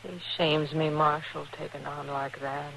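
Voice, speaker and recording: A woman's voice: deep voice, level tone, a little slow, gravelly, fairly bright tone, full character voice. A woman playing a plain, anxious, work-worn frontier mother with folksy rural speech in an old-time radio western. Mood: resigned, urging. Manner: folksy, rustic drawl. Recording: noisy old radio recording.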